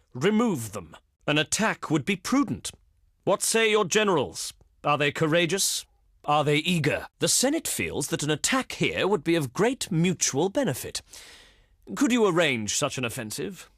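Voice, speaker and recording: Haughty Roman envoy, dry and animated. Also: male voice, a little high, no room sound. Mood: stern, contemptuous, then formal, urging. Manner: pompous, formal, oratorical, condescending. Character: haughty Roman diplomat, male envoy of the Senate. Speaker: game diplomacy envoy. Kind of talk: theatrical scripted game dialogue.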